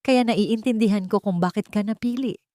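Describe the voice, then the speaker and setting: A woman voicing a cold, wealthy matriarch who speaks softly with menacing, controlled authority in an audio drama. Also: medium pitch, moderate pace, no room sound, clean studio sound, pitched above the performer's own voice, close to an everyday voice. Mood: falsely gracious. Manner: soft-spoken, controlled, commanding.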